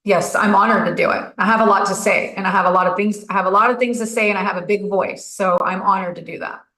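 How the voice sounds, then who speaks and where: A woman's voice: mid-range voice, steady tone, fast, sharp tone, reverberant, poor audio. A woman hosting a talk show, speaking earnestly and somewhat hesitantly, with many fillers. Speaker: host. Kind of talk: casual podcast talk.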